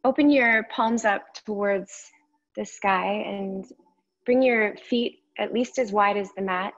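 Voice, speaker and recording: A woman yoga teacher guides a meditation in a mid-pitched voice. She speaks slowly and gently, leaving long gaps between short phrases. The recording is somewhat muffled and lo-fi.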